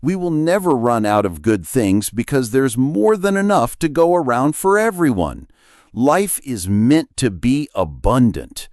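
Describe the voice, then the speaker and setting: A man reading scripted inspirational audiobook narration with deliberate, emphatic phrasing. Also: medium pitch, a little mellow, dry recording, clean studio sound. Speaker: audiobook reader.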